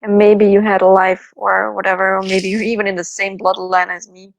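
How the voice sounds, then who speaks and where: A woman co-host in a casual chat, supportive and quick to laugh. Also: mid-range voice, level tone, vocal fry, a little hiss or hum, low-quality recording. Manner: giggly, supportive, laughs often.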